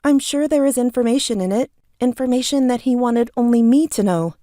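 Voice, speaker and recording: A woman's voice: fairly high pitch, a little fast, dark tone, no room sound, clean studio sound, natural voice. A woman acting a guarded, irritable magician who gives evasive, clipped answers in an audio drama. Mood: defensive.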